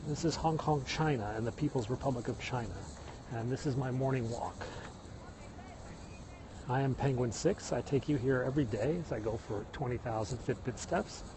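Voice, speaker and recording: A middle-aged man with a Midwestern American accent talks to his viewers as he walks a hillside trail. He sounds like a chatty tour guide pointing out the sights. His phone picks up loud cicadas and insects buzzing around him. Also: mid-range voice, moderate pace, noisy background. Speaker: live-streaming vlogger. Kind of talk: casual walking livestream chat. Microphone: phone held while walking.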